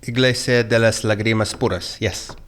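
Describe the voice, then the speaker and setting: A man's voice: fairly low pitch, fairly even intonation, moderate pace, a bit bright, natural voice. A man playing a formal, commanding captor in an audio drama, businesslike and matter-of-fact about his plotting.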